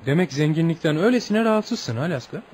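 An actor playing a courteous, reflective gentleman visitor, gently probing and philosophical, in radio theatre. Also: a little high, lively intonation, fast, a little hiss or hum, natural voice. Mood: musing.

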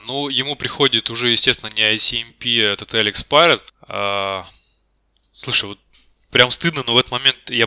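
A man's voice: fairly low pitch, bright, forceful tone, clear, resonant tone, dry recording, studio quality. A man being interviewed for a technical job, explaining spontaneously and tentatively, often correcting himself. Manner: tentative, self-correcting, admits uncertainty.

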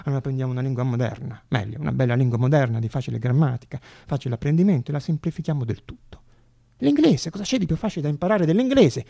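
Un uomo che interpreta in un monologo teatrale un giovane inventore idealista, che ragiona agitato tra sé, discutendo con se stesso. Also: male voice, medium pitch, lively intonation, fast, gravelly, dark tone, no room sound, studio quality. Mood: excited, fretful. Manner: agitated, self-questioning, arguing with himself. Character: idealistic young male inventor, feverishly reasoning aloud to himself. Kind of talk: theatrical monologue radio drama.

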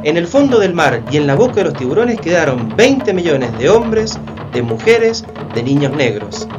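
Man acting animated, impassioned historian in lo-fi sound. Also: a little high, moderate pace, over music. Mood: indignant, didactic. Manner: emphatic, rhetorical questions, didactic. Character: impassioned male historian denouncing slavery, argumentative. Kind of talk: community radio play dialogue.

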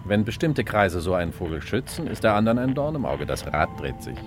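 An actor playing a smug, calculating official, condescending and wry, in a radio crime drama. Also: male voice, on the low side, sing-song, fairly quick, music in the background. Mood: calculating, self-satisfied. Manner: smooth-talking, condescending, wry. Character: smug, calculating male official, cool and ambitious.

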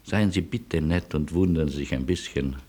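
A freethinking refugee in a German radio drama. His voice is deep with a husky edge. He speaks with rueful irony and resigned wit. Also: normal speed, dark tone. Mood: ironic resignation. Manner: ironic, rueful, given to philosophical quips. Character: Jakubowski, wry, resigned wandering refugee, a freethinking European. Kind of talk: German radio play acting with narration.

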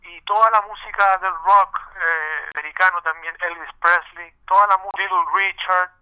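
A man's voice: high-pitched, steady tone, moderate pace, pushed and bright, clean tone, poor audio. A middle-aged man with a Chilean accent, a musician answering casually in a radio interview, often searching for his words. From Santiago, Chile.

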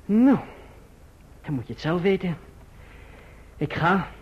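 An actor playing a patient, doting young husband in an old radio drama, speaking in a tender, coaxing, earnest way. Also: male voice, high-pitched, lively intonation, slow pace, husky, heavily acted. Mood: fond, conciliatory. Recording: dull, faintly noisy old radio recording.

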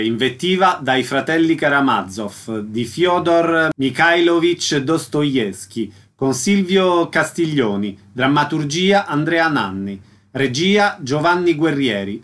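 Conduttore radiofonico, voce piuttosto acuta. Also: male voice, on the high side, expressive, slow pace, hardly any pauses, a bit bright. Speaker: radio host. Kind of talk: scripted radio announcing and interviewing.

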